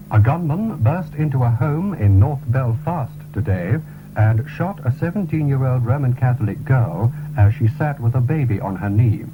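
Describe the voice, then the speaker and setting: A man reading a formal, scripted radio news bulletin in a measured way, on a 1970s broadcast. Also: mid-range voice. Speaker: radio newsreader. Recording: tinny, narrow-band 1970s radio broadcast recording.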